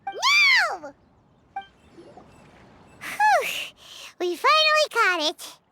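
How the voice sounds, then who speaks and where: Bossy little childlike game companion: piping, very high, slow-paced delivery, exclamatory. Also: female voice, huge pitch swings, sharp tone, a little hiss or hum, exaggerated voice. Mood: alarmed, excited. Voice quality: squeaky, piping. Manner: exclamatory, refers to self in third person. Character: small floating childlike female companion, excitable and bossy. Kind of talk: video game cutscene voice acting. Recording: music behind the voice. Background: game music.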